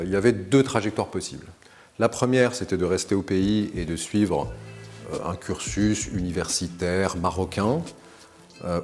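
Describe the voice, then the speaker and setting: A man in his forties who grew up in Morocco, telling the story of his studies and career in a measured, articulate way, with frequent hesitations. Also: lowish voice, a little mellow. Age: 40s. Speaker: interview guest. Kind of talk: recounting his career to camera.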